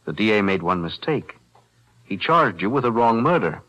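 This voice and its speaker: An actor playing a calm defense attorney, measured and precise, in 1940s radio-drama style. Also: male voice, on the low side, low-quality recording. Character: calm, principled male lawyer.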